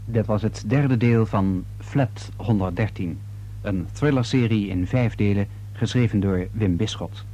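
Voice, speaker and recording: A man reading a scripted radio drama announcement in a formal, measured manner. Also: on the low side, mellow.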